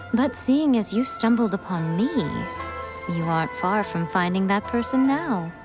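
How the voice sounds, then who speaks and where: A woman's voice: medium pitch, expressive, a little slow, music in the background, natural voice, low-quality recording. An actress playing an elegant, knowing woman in an anime-style video game, with a playful, teasing manner. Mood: amused, knowing.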